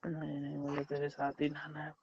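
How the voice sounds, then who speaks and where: Dull phone-call recording, flirty even-toned man. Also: fairly high pitch, a little fast, vocal fry, a little hiss or hum. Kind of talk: flirtatious casual phone chat.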